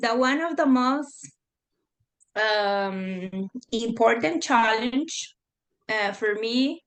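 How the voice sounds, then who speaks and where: Mexican woman, spanish accent, kinda high voice, slow and hesitant, lots of ums and laughs. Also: a bit bright, low-quality recording. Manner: hesitant, many fillers, laughs often. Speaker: interview guest. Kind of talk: casual conversation.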